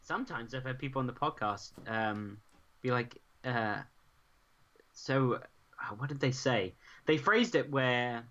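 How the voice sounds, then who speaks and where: A man's voice: medium pitch, unhurried, pushed and bright, lo-fi sound. A man chatting playfully with his brother and reading out chat comments on a live stream. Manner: teasing, playful, breaks into bits of song. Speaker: co-host and brother.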